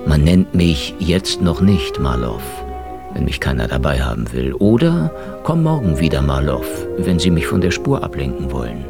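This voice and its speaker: A man's voice: extremely low pitch, big pitch swings, normal speed, creaky, full character voice. A man playing a world-weary veteran Russian detective, narrating his case in a dry, wry radio drama style. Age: middle-aged or older. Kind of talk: hard-boiled first-person radio drama narration. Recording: music bed under the voice.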